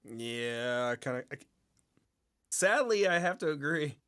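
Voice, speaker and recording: A man hosting a casual podcast chat, relaxed, often chiming in briefly. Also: mid-range voice, a little slow, smooth. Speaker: podcast host.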